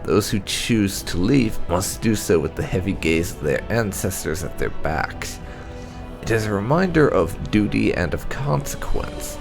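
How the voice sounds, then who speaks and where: A man reading fantasy game documents aloud for a playthrough, in a measured, deliberate and formal storytelling manner. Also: sits low, expressive, vocal fry, over music. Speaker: gaming YouTuber reading in-game texts aloud.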